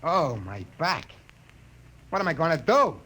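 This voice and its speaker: A hapless company troubleshooter in an old radio play. His very high, animated voice is flustered and defensive, with faint noise on the recording. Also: measured pace, heavily acted. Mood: harried. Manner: flustered, stammering. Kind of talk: 1950s radio acting.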